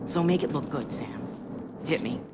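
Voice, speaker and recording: A woman's voice: rumbling low, animated, normal speed, very noisy. A woman playing an urgent, steely intelligence mole in a video game, with an American accent. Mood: insistent, goading.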